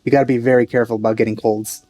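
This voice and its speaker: A woman's voice: extremely low pitch, flat delivery, rapid-fire, dark tone, faint music behind. A woman giving casual, offhand, joking commentary while playing a video game. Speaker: let's play host.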